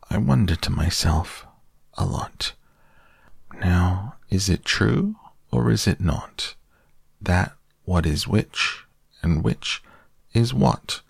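A man voicing a humble, gentle toy bear in a storybook, with a hesitant, ponderous way of talking. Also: low-pitched, drawn-out words, vocal fry, long pauses, breathy edge, dry recording, clean studio sound, exaggerated voice. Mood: dreamy, reciting.

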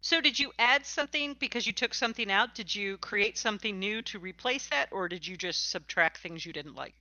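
Female podcast host, casual and encouraging, crisp forward voice on dry, slightly lo-fi audio. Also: mid-range voice, animated, brisk, sharp tone, dry recording. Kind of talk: casual conversational interview.

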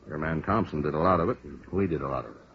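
A very deep-voiced American police lieutenant. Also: male voice. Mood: musing, businesslike. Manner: deliberate, emphatic, matter-of-fact. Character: seasoned, businesslike male police lieutenant, firm and frank. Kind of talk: 1950s radio drama acting. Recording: muffled, hissy old radio recording.

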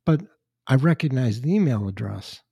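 An older man with a slightly raspy voice, chatting casually as a podcast host and telling rambling anecdotes with dry asides. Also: medium pitch, animated, moderate pace, warm tone, dry recording. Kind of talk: casual chat, storytelling.

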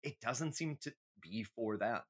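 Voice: mid-range voice, animated, a little slow. A podcast co-host in casual chat, giving candid, emphatic, sweary opinions like a comic rant.

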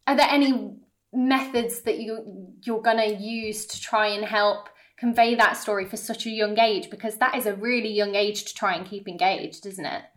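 A woman's voice: a little high, a bit bright. A woman hosting a podcast interview, chatting casually in an effusive, encouraging way.

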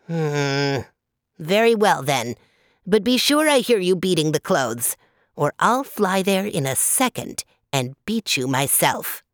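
A woman voicing a huge, bossy male condor who holds a girl captive, speaking in a gruff, suspicious and threatening way. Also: lowish voice, very animated, slow, dry recording, studio quality.

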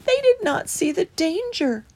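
A woman's voice: very high voice, sing-song, a little slow, a little mellow, pitched above the performer's own voice, heavily acted. A woman voicing a small, timid male mouse with a plaintive, anxious manner in a storybook. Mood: sad. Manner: stammering, plaintive.

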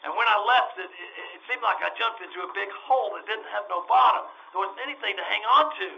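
A man playing a high-pitched, stammering desperate dreamer. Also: bright, forceful tone, exaggerated voice. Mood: wistful, fervent. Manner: stammering, halting, emphatic. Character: desperate, dreamy male former circus publicity man. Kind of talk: old-time radio drama acting. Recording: dull lo-fi audio.

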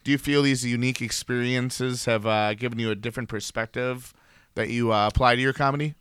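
A man hosts a podcast in a bright, clear voice on a dry close mic. He asks his questions easily, with the odd pause and filler. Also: medium pitch, fairly even intonation, no echo. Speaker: podcast host. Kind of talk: casual interview questions.

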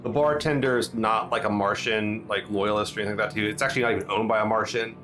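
A man's voice: fairly low pitch, fairly even intonation, fast talker, a bit bright, faint music behind. A man narrating as game master in casual, filler-heavy talk. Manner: casual, hesitant, lots of fillers.

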